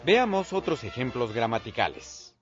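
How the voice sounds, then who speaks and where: A man giving scripted narration for an educational video in a clear, measured way. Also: mid-range voice, sing-song. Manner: clear, measured explanatory delivery. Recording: dull lo-fi voice-over with music.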